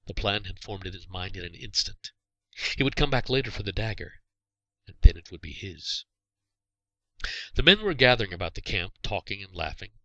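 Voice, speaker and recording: A man narrating a scripted storybook tale as its author, in a dramatic, expressive way. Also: low-pitched, moderate pace, pauses often, dry recording, dull audio. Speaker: author reading own story.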